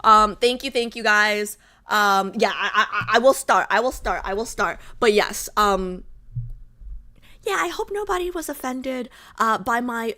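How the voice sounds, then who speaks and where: A woman's voice: on the high side, sharp tone. A young adult woman streamer chatting casually with her viewers, bubbly and full of fillers. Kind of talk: casual chat with live chat.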